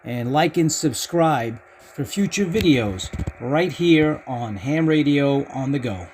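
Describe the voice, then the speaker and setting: A man's voice: medium pitch, lively intonation, takes it slowly, darkish tone, slight background noise. A man hosting a casual amateur radio demonstration, speaking as an operator who gives callouts in the phonetic alphabet. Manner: spells call signs in phonetic alphabet.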